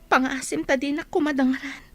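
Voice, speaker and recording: A woman acting a young village daughter in a radio serial, her voice very high. She speaks with an Ilocano accent, hesitant and guarded. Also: fairly even intonation, a little fast, natural voice. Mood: guarded, wary.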